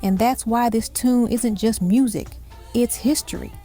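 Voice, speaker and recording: Mellow woman narrating a music countdown. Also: a little high, unhurried. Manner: evocative storytelling in short dramatic phrases.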